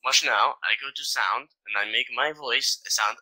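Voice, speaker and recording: A man's voice: mid-range voice, normal speed, sharp tone, poor audio. A man bantering in online game voice chat, brash and argumentative in broken English. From Poland. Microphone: gaming voice chat mic.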